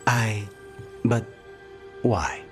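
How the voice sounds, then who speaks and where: An actor playing a fussy, suave, easily appalled connoisseur in a video game. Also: male voice, lowish voice, extremely slow, fairly bright tone, soft background music.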